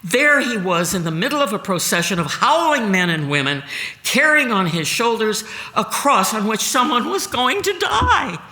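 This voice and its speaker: A woman reading a dramatic devotional narration at a church service, solemn and expressive in her storytelling. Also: on the low side. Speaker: reader at a church Good Friday service. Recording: long reverb of a large room.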